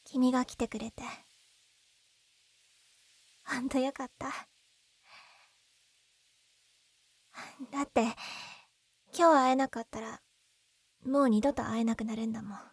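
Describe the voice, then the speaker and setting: A woman playing a gentle, lovesick young woman in an intimate roleplay, speaking softly and breathily up close. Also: on the high side, fairly even intonation, moderate pace, pauses often, no room sound. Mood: wistful, relieved. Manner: soft, breathy, intimate, often trailing into whispers. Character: lovesick young woman, gentle, tearful, pleading with childhood friend. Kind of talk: Japanese ASMR-style situation voice roleplay. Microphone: close binaural dummy-head microphone. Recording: very dry, close binaural recording.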